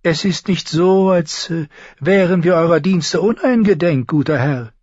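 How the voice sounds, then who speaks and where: A man's voice: on the high side, a little slow, darkish tone, slightly rough, dry recording, dull audio, voice pushed up. A man voicing a mild, ingratiating court eunuch in an audiobook. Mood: soothing. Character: soft-spoken smooth court eunuch, oily.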